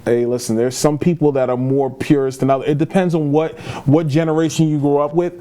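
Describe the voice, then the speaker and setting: A man with a fairly high-set voice co-hosts a podcast about films and geek news. He talks in a casual, chatty way, as if running down topics for a friend. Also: flat delivery, a little fast, mellow, smooth.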